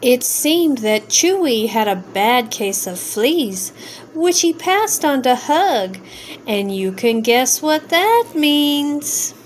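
A woman reading a rhyming children's story aloud in an expressive, drawn-out sing-song way. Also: high voice, slight background noise. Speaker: storybook reader.

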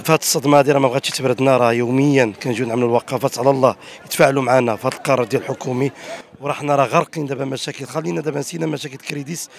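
Very fast, gravelly man. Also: medium pitch, steady tone. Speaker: interviewee, events business owner. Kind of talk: street interview complaint. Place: outdoors by a gate, large plaza. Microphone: handheld reporter microphone.

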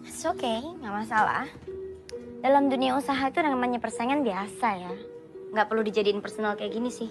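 A woman's voice: on the high side, fairly quick, music in the background, lightly acted. An actress playing a proud, combative young businesswoman with a sarcastic edge, in a naturalistic film drama. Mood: cool, dismissive. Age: young adult.

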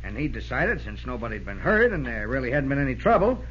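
An actor with an American accent playing a pleased baseball manager who explains things emphatically in an old radio drama. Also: male voice, mid-range voice, sing-song, quick pace, fairly bright tone. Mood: pleased, explaining. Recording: muffled, hissy old radio broadcast.

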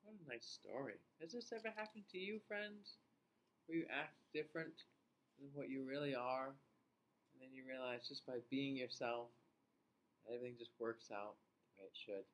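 A middle-aged man reading a picture book aloud to young children, expressively, with questions and pauses for them to answer. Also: medium pitch, a bit bright, a little hiss or hum, poor audio. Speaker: children's class host and teacher reading aloud. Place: small indoor room.

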